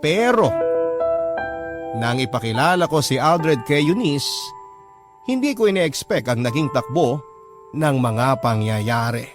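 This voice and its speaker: A man's voice: medium pitch, very animated, unhurried, pauses often. A man with a Filipino accent reading a scripted first-person story as a radio drama narrator. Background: music bed.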